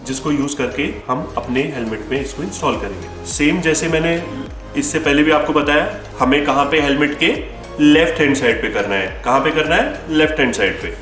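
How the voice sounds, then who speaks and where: Echoey Indian man giving a hands-on tutorial. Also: medium pitch. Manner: instructive, repeats key points, mixes in English terms. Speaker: store presenter demonstrating helmet intercom installation. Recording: echoey room with music behind.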